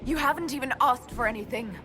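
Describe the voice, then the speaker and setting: A defiant young elf heroine played by a woman with a fairly high voice. She speaks in a direct, challenging, urgent way in dramatic video game style, with music clearly behind her. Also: fast, close to an everyday voice. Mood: urgent, demanding. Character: defiant young female elf mage hero.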